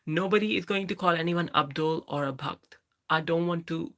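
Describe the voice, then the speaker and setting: A woman's voice: low-pitched, pushed and bright. A woman in her thirties with a Pakistani English accent, chatting casually on a live stream and answering viewers by name. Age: 30s. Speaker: livestream host. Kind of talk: casual live chat reading viewer comments.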